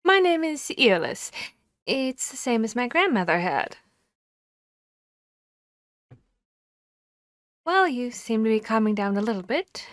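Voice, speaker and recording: A woman voicing a harpy seeking a human mate, commanding yet courteous and bashful, speaking formally and deliberately with hesitant pauses in an ASMR roleplay. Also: a little high, big pitch swings, fairly bright tone, no room sound, studio quality. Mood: curious, polite.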